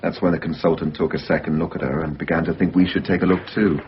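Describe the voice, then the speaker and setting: A measured male hospital clinician in a radio drama. His voice sits very low, and he explains things in an understated, matter-of-fact way. The sound is somewhat muffled and lo-fi. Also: fairly even intonation, slight background noise. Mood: grave, matter-of-fact. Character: measured male hospital mental-health worker briefing a colleague. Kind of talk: naturalistic radio drama acting. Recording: dull lo-fi radio recording.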